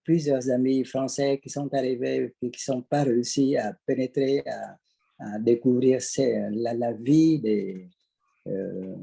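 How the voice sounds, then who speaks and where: An older man, giving a personal account and searching haltingly for his words. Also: mid-range voice, fairly even intonation, creaky, dark tone, slightly rough. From Vietnam. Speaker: audience participant. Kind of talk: personal account at an online conference.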